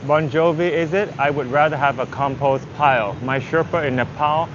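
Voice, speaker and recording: A man's voice: a little high, fairly even intonation, normal speed. A man who is a native Vietnamese speaker chats casually in English, rambling and thinking aloud like a walking travel livestreamer. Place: outdoors on a busy city street. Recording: dull audio with loud street traffic. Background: traffic, vehicles, urban street noise.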